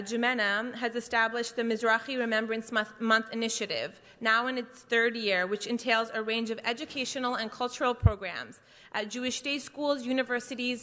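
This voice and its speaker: Bright-voiced woman giving a statement. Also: fairly high pitch. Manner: earnest, reads from a prepared speech. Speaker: advocate for an organization. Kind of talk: prepared public statement. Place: large council chamber. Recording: long hall reverb.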